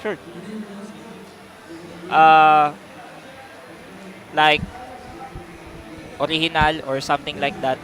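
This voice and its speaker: A man's voice: a little high, flat delivery, extremely slow, pauses often, fairly bright tone, low-quality recording. A man from the Philippines, a game editor, answering in a hesitant way with many fillers.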